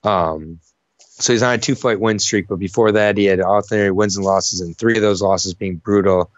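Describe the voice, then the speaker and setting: Fight-pick co-host, low male voice, dull dry lo-fi recording, rambling casual delivery. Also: steady tone, moderate pace, dry recording. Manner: rambling, many fillers and restarts. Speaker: co-host of a fight prediction show. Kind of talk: casual betting breakdown chat.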